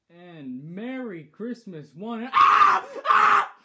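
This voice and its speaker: A teenage boy hosting a comedic skit in a living room, bossy and exasperated, bursting into shouts, recorded on a camera mic a few feet away. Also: very high voice, vocal fry, heavy background noise. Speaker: host. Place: decorated living room indoors.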